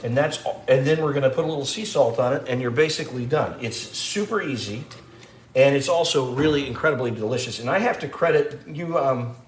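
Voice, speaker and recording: A man's voice: mid-range voice, a little fast. A middle-aged man talks viewers through a simple recipe, chatting easily and greeting them by name. His voice sits in the middle range. The kitchen sounds roomy and echoey, with faint background noise. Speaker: cooking show host. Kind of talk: casual live cooking demo. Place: home kitchen.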